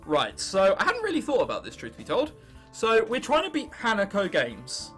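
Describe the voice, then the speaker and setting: A man's voice: high voice, wildly swooping pitch, moderate pace, soft background music. A 19-year-old man with an English accent doing casual, sarcastic game commentary with mock bravado, sometimes reading on-screen text aloud. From England. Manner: sarcastic, self-mocking bravado, casual swearing. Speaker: gaming video host.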